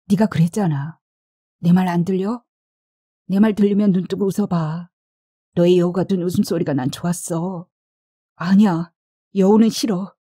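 A woman's voice: lowish voice, dark tone, husky, dry recording, clean studio sound. A woman voicing a desperate young man who urgently pleads for his lover in an audio story.

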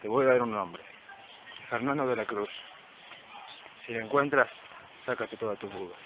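A man's voice: medium pitch, normal speed, natural voice. An amateur man actor playing a guarded, grave hooded informant in a detective radio play. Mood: grave, secretive. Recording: muffled, noisy amateur recording.

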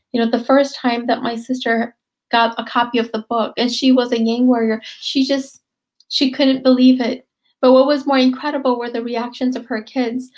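A woman in her forties, an author, speaking gently and earnestly from home on a video call. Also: fairly high pitch, poor audio. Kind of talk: conversational author talk. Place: home room.

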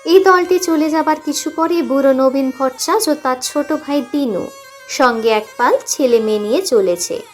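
A woman's voice: high-pitched, normal speed. A woman narrating a literary story expressively, audiobook style, with music underneath.

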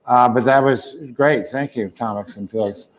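An older man hosts a webinar over a video call. He is friendly and relaxed, and now and then he trips over his own words as he hands the floor to the next guest. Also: mid-range voice, normal speed, rough recording. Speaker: webinar host. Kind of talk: casual moderating.

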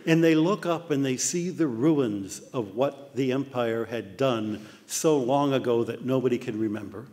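An older man with an American accent giving an animated illustrated lecture, often putting on mock voices. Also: mid-range voice, a little slow. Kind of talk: illustrated talk. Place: dark lecture hall with projector screen. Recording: long hall reverb.

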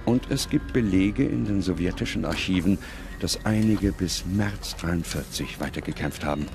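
Deep voiced guy narrating a documentary, serious and measured, music playing behind him. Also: gravelly. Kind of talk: scripted narration.

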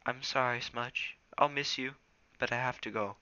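A man's voice: mid-range voice, steady tone, moderate pace, sharp tone, smooth, lo-fi sound. A man voicing a restless, determined young male pet cat in a storybook, earnest and resolute. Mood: regretful.

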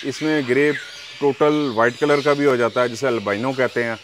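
A man from Pakistan who breeds parrots, answering interview questions calmly and explaining at length with examples. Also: medium pitch, moderate pace, background noise.

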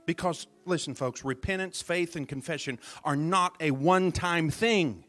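A man's voice: a little high, normal speed, a bit bright. A man preaching a sermon as minister at a church service, dramatic, dropping his voice to near whispers for emphasis and calling out to the congregation. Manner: calls out to the congregation.

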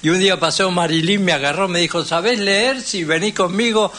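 Older Argentine man, bright, higher voice. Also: a little fast. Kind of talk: reminiscing conversation on radio.

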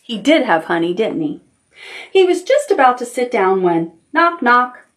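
A woman's voice: a little high, very animated, a little slow, echoey room, distant mic. A middle-aged woman hosting a preschool storytime, speaking in simple, encouraging phrases and repeating herself for young listeners. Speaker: library storytime host for preschoolers. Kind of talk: children's storytime, instruction and read-aloud. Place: indoor room decorated with stuffed toys.

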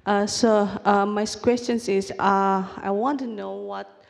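A woman's voice: mid-range voice, fairly even intonation, slow, vocal fry. Woman, audience questioner, filler-heavy English, echoing hall. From Indonesia. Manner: searching, filler-heavy phrasing. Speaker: audience member asking a question. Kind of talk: question at a forum. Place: large conference hall. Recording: long hall reverb.

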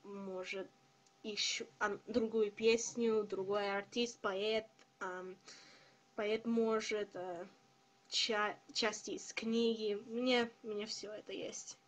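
A young woman who is not a native speaker, talking to her viewers in a careful, hesitant way with many pauses. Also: medium pitch, little pitch movement, low-quality recording. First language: not Russian. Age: young adult. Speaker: vlogger. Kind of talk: casual video address to viewers.

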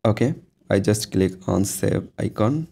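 A man hosting an informal step-by-step tutorial voice-over, speaking haltingly with repeated phrases and frequent ums. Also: lowish voice, animated, gravelly, warm tone. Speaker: tutorial host. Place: voice-over to a phone screen recording.

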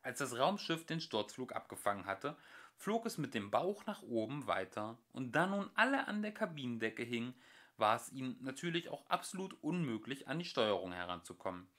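A young man narrating a home-made audiobook with lively, expressive storytelling. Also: mid-range voice, normal speed. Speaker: audiobook reader. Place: home recording.

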